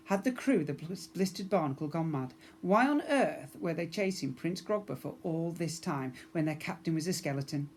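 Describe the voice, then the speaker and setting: A man reading a children's story aloud in an expressive narrating style, as a teacher would for young pupils. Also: a little high, moderate pace, hardly any pauses, a little hiss or hum.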